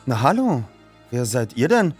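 A man's voice: medium pitch, sing-song, measured pace, a little mellow, husky, faint music behind. A man voicing a guarded, protective mother of about forty in an audiobook reading. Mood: warm. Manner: stern, hesitant, guarded.

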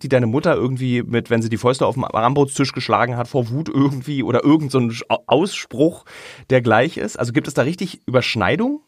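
A man's voice: mid-range voice, dry recording, clean studio sound. A man hosting a podcast interview, asking long, winding questions and often restarting mid-sentence. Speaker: podcast host. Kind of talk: interview conversation.

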